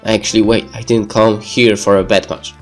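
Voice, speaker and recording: A man's voice: lowish voice, flat delivery, normal speed, soft background music. A man reading visual novel narration aloud as a let's player. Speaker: video game let's player.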